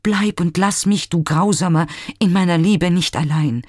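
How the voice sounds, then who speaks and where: Despairing lovesick youth read by a man: husky, creaky, dry close sound, unhurried pleading. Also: mid-range voice, darkish tone, no echo, full character voice. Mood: anguished. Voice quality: breathy, whispering at times. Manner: slow, intimate, mournful pleading. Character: young man, lovesick for his own reflection, despairing.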